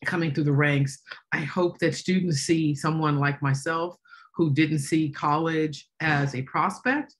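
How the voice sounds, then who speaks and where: A woman college vice president giving earnest welcome remarks over a video call, speaking with pauses. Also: low-pitched, breathy edge.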